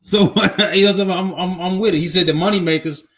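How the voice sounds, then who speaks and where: A man's voice: high-pitched, steady tone, fast talker. A man co-hosting a podcast in casual chat, easygoing and conciliatory, with slangy turns of phrase. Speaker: podcast co-host.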